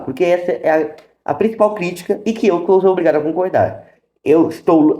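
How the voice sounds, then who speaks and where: A man's voice: a little high, dark tone. A Brazilian man hosting a casual review video, explaining his opinions directly to viewers. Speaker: YouTube host. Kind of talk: casual review commentary to camera. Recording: roomy, echoey sound.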